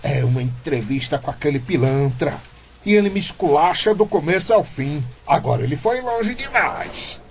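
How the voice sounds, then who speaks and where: Casting: a brash, blustering local politician, scornful and slippery, radio soap comedy, some background noise. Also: male voice, on the high side, animated, normal speed. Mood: indignant. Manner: blustering, mocking. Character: brash male small-town mayoral candidate, scornful and evasive.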